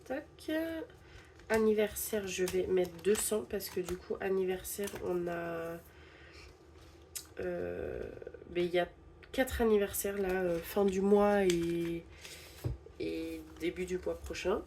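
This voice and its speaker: A woman's voice: on the low side, takes it slowly, warm tone, slight background noise. A young woman with a stuffy head cold, casually narrating a budgeting vlog and hesitantly counting bills aloud. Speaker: budgeting channel host.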